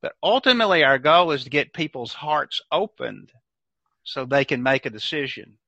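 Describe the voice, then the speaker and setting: Bright older Southern preacher man. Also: medium pitch, animated, moderate pace, creaky, dry recording. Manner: emphatic, preacherly. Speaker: guest. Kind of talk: preaching-style discussion. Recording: dry, dull lo-fi sound.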